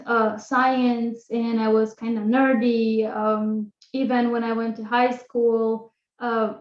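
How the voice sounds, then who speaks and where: High female voice, young adult, Albanian accent, personal storytelling, roomy echo, slightly dull online audio. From Kosovo. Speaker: guest speaker, astronomy graduate student. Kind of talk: informal public talk, personal storytelling.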